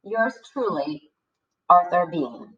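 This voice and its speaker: A woman's voice: fairly low pitch, expressive, slow, dull audio, pitched below the performer's own voice. A woman reading as a sarcastic, melodramatic schoolboy, mock-grand in a storybook read-aloud. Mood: sarcastic, defiant. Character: sarcastic, melodramatic schoolboy writing reviews and letters. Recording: distant microphone.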